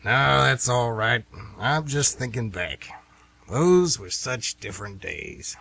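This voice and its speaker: A man's voice: mid-range voice, lively intonation, takes it slowly, gravelly, sharp tone, exaggerated voice. A man playing a folksy, nostalgic older baseball fan who rambles emphatically in an amateur radio play. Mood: nostalgic, animated.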